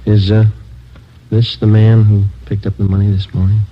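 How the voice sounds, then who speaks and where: An actor playing a stoic, terse police sergeant in a 1950s radio drama, deadpan and matter-of-fact. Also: male voice, on the low side, moderate pace, natural voice. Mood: businesslike. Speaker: lead actor and narrator. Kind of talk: 1950s radio drama acting, clipped narration. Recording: old muffled radio recording with music.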